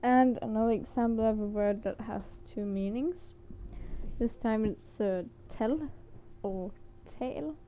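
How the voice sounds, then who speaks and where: A woman's voice: a little high, slow, warm tone, slight background noise, rough recording. A woman from Denmark with a Danish accent, teaching words in a casual, hesitant vlog with many fillers and small laughs. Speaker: language teacher vlogger.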